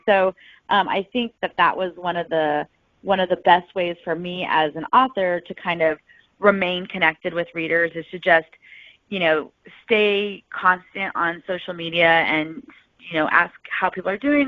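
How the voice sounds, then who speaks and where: A woman's voice: on the low side, no echo. Talkative woman on a tinny, phone-like line. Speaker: podcast guest, novelist. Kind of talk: casual conversational interview answers. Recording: tinny, phone-like call audio.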